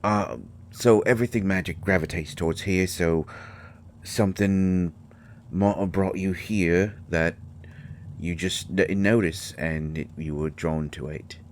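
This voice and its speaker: A man playing a shy, kindly mushroom fairy in a roleplay audio drama, speaking haltingly with folksy, ungrammatical phrasing. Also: low-pitched, fairly even intonation, slight background noise. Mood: hesitant, cautionary. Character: shy, kindly male mushroom fairy living in magic library. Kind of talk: scripted roleplay audio drama addressed to the listener.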